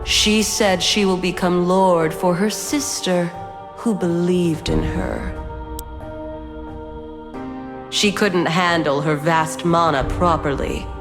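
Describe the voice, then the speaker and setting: A woman voicing a haughty, cold ancient female spirit who judges heirs in a game, measured and condescending, with a long reverb. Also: fairly low pitch, animated. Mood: scornful. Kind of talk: dramatic game voice acting. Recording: long cavernous reverb, music behind.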